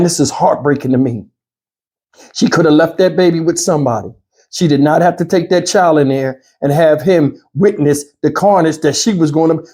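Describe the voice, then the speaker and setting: Man, high-pitched, mellow tone, online news commentator, exasperated, emotional, pleading, unscripted. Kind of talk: off-the-cuff opinion commentary to viewers.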